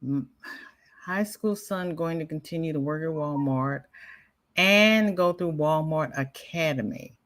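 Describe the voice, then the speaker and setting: A woman's voice: low voice, measured pace, fairly bright tone. A woman hosting a crochet livestream, chatting casually and rambling, reading out viewer comments, with a congested voice and frequent restarts. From American South. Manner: rambling, chatty, self-interrupting with repeated starts.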